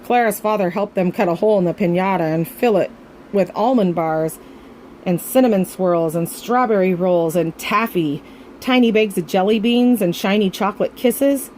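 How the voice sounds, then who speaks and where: A woman reads a children's storybook aloud in an expressive way, as a grandmother would for young listeners. Her pitch is medium, and there is some noise in the recording. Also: normal speed, poor audio. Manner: expressive, acting out the dialogue. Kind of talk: reading a children's picture book aloud with asides.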